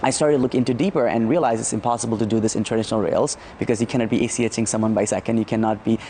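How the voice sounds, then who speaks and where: A man's voice: fairly low pitch, steady tone, racing, mellow, a little hiss or hum. A man from Nepal answering interview questions as a startup founder, often repeating words and checking in with "right?".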